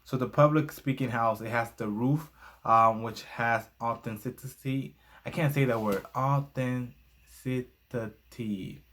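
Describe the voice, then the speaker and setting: A man's voice: medium pitch, fairly even intonation, slow pace, a bit bright. A young man whose first language is Spanish reviews a book in a casual vlog, hesitating often with fillers and glancing at his notes.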